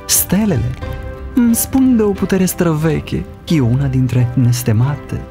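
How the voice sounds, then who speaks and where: A wise cartoon wizard storyteller, with a gravelly, mellow voice. He is solemn and measured, yet his intonation moves freely. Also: on the high side, very animated, faint music behind. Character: wise dreamy bearded male wizard storyteller. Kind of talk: animated fairy tale dub.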